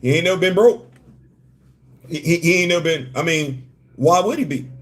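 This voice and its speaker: A man's voice: a little high, expressive, normal speed. A man from New York with a slight Southern-tinged accent, hosting a live stream and talking emphatically in slangy, repetitive bursts while greeting viewers. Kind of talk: animated live-stream commentary.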